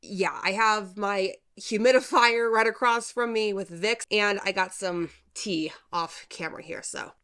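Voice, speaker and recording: A woman's voice: medium pitch, measured pace, hardly any pauses, a bit bright, smooth. A young woman hosting her channel, chatty and playful, laughing often, with a congested nose. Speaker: YouTube host. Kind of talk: casual chatty storytelling vlog. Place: home room with humidifier.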